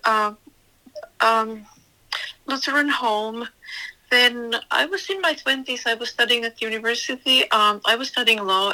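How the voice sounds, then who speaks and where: A middle-aged woman tells her story as an interview guest. Her voice sits a little high and sounds bright. She speaks in short, simple phrases with hesitant fillers. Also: rough recording. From Finland. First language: Finnish. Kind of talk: testimony interview.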